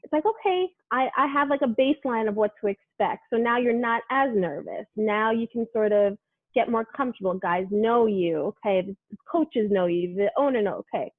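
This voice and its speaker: A woman's voice: fairly high pitch, moderate pace, no room sound. Brooklyn woman sports reporter chatting on a call, lots of likes and you knows, tinny line. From Brooklyn, New York. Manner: chatty, animated, many fillers like "like" and "you know". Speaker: sports reporter, interview guest. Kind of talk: casual interview conversation.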